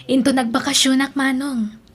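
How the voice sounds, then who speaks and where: A woman's voice: high voice, steady tone, moderate pace, breathy edge. A woman with a Filipino accent playing a devoted, defensive young woman who is a younger sister, in an audio drama. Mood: apologetic. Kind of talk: Ilocano radio drama acting.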